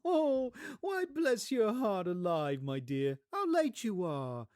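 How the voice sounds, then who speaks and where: A man voicing an affectionate, excitable working-class mother who fusses and exclaims, in a storybook performance. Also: high-pitched, takes it slowly, no room sound, clean studio sound, voice pushed up, exaggerated voice. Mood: fussing, affectionate. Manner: fussing, exclamatory. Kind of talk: theatrical audiobook character acting.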